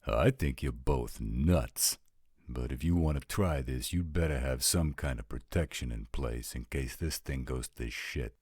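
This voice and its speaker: Male actor as roguish supernatural informant, bottomless bass pitch, blunt slang, dry studio sound, roleplay audio. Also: a little slow, darkish tone, slightly rough, dry recording, full character voice. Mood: skeptical, cautionary. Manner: slangy, blunt, drawling street talk. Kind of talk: audio roleplay drama acting.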